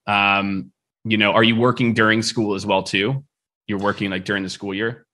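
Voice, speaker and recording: Bright-voiced energetic podcast host man. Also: fairly low pitch, moderate pace. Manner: energetic, loose, lots of filler words. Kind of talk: conversational interview.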